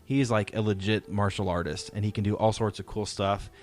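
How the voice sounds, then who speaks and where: A man's voice: on the low side, fairly even intonation, brisk. A man hosting a podcast discussion, talking casually and enthusiastically in informal, slangy language. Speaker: podcast host. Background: music playing faintly.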